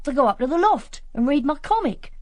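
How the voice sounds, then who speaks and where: A young village boy with a rural English accent, high and very lively in pitch. He is cheeky and plain-spoken, in a close, dry radio drama sound. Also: fairly quick, dry recording. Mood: matter-of-fact. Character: cheeky, matter-of-fact English country schoolboy.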